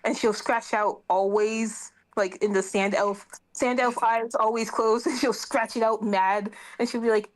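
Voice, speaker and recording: Unhurried woman at a tabletop game. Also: on the high side, fairly even intonation, poor audio. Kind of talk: casual improvised roleplay chat.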